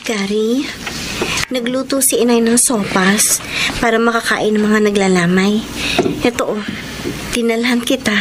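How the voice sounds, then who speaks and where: An actress playing a caring young woman who consoles her friend in a Filipino radio drama, soft and emotive over music. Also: fairly high pitch, measured pace, pauses often, background noise, natural voice. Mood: consoling. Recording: music under the dialogue.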